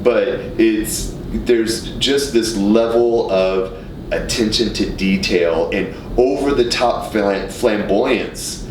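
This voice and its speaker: A man with a rather low voice talks casually as a podcast host, stumbling and repeating as he builds a point. He is slightly distant in a roomy space with clear rumble. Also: slow. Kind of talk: casual discussion. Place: indoor radio studio. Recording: roomy, noisy, off-mic studio recording with rumble.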